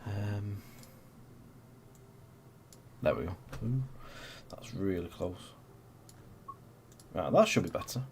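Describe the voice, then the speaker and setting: A man's voice: low-pitched, lively intonation, slow pace, vocal fry, pauses often, darkish tone, a little hiss or hum. A man in his thirties with a stuffy, congested voice, casually chatting as he hosts a gameplay livestream, rambling with lots of fillers. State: congested with a cold. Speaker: stream host.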